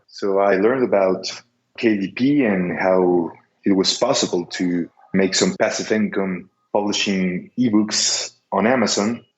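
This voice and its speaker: Casting note: young Mexican man, Spanish accent, low voice, careful non-native English, roomy muffled audio. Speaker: guest, business seller. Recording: echoey, dull lo-fi line.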